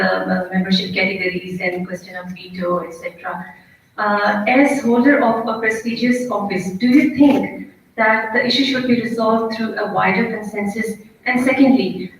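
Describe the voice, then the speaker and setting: A woman reporter with a Pakistani accent puts a formal, measured question at a press briefing. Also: mid-range voice. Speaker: news agency reporter. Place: press briefing room indoors. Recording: very echoey room, distant mic, faint noise.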